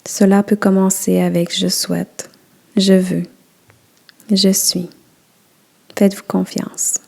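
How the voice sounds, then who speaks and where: Slow, mellow-voiced woman guiding relaxation. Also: mid-range voice, flat delivery, creaky. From Quebec. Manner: slow, soft, soothing instructions. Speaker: yoga nidra guide. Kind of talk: guided relaxation.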